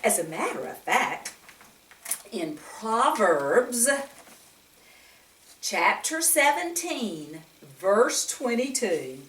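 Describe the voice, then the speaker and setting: Slow, animated woman storyteller in roomy echo. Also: lowish voice. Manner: animated, gentle teacher-like, asking the listener questions. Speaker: children's story reader and host. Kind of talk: storytime talk to young children with Bible lesson. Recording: roomy echo, distant microphone.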